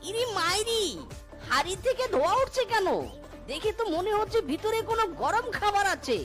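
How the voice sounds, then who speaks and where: A woman's voice: extremely high pitch, animated, fairly quick. A woman voicing a mischievous, gleeful male fox thief in a cartoon, with a cheeky, boastful, exclamatory manner. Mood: cheeky, pleased. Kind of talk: cartoon voice acting. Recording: music behind the voice.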